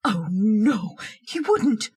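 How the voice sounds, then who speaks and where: An actress playing a frightened, easily swayed wife turned desperate murderess in a radio horror drama, hesitant and pleading. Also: female voice, mid-range voice, sing-song, very slow, a little mellow, husky, exaggerated voice. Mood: fearful, yielding. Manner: hesitant, repeating words, pleading. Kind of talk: old-time radio horror acting.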